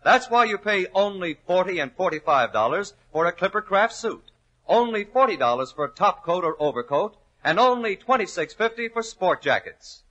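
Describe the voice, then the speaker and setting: A man's voice: sits high, very animated, moderate pace, fairly bright tone, highs cut off. A man working as a radio announcer, reading a scripted 1940s advertisement with a polished salesman's delivery. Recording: old 1940s radio recording.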